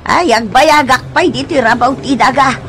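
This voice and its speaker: A woman's voice: high-pitched, normal speed, background noise. A woman with an Ilocano accent playing a stubborn, plaintive old beggar mother in a radio drama, exclaiming and wailing. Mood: fussing, grumbling.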